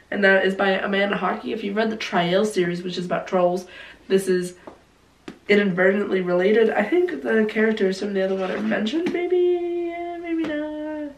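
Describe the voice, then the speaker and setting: Hoarse young woman, casual gushing book chat, lots of "like", roomy echo, faint hiss. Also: mid-range voice. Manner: gushing, heavy use of "like". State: hoarse, coughing. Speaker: book vlogger. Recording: roomy, distant mic, faint noise.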